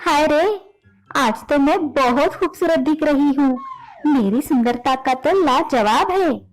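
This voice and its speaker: Woman putting on a high, vain young daughter-in-law. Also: expressive, takes it slowly, faint music behind, rough recording. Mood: self-admiring. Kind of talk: children's moral story acting.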